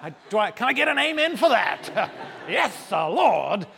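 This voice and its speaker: Male guest speaker from Zambia, wry and self-mocking, slightly higher voice, long hall reverb. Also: big pitch swings, normal speed, creaky, pushed and bright, a faint crowd, slight background noise. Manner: humorous, self-deprecating. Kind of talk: onstage interview, storytelling.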